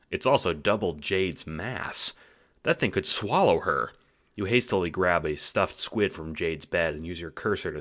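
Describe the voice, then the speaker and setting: Male narrator, very low pitch, crisp bright tone, wry, theatrical, dramatic audiobook style.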